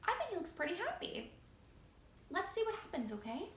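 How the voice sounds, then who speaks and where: Lady doing kids storytime, high expressive voice, super animated, reading a picture book. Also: moderate pace. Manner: expressive, asking young listeners questions. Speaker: library storytime reader. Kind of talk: animated picture book read-aloud to children. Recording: roomy echo, faint background noise.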